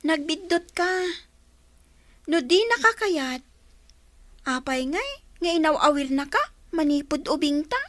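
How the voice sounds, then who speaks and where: A very high, childlike schoolgirl voice in a radio drama. She is earnest and anxious, asking and pleading, recorded dry and close. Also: lively intonation, measured pace, pauses often, fairly bright tone, no room sound. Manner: pleading, questioning.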